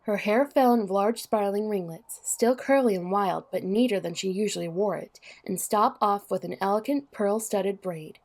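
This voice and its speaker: A woman serves as narrator of a scripted story. Her voice sits at a middle pitch as she reads descriptive prose for an audio drama. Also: normal speed, hardly any pauses, darkish tone.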